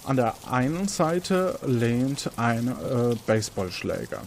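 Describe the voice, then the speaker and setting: A man's voice: mid-range voice, big pitch swings, takes it slowly, vocal fry, a little mellow, slight background noise. A man moderating an interactive audio quiz game as its host.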